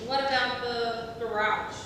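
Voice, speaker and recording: Lady playing puzzled ditzy stage character, slow sharp voice, big echo, bit of rumble. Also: steady tone, lightly acted. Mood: helpful. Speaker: amateur stage actor. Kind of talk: community theatre comedy acting. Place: theatre stage, distant microphone. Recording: echoey hall from far off, rumble.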